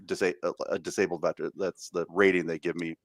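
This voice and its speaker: A man with a fairly low voice talks casually on a podcast, stumbling and repeating words as he goes. The sound is dry and close. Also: level tone, dry recording. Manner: halting, repeats and restarts words. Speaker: podcast co-host. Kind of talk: casual chat.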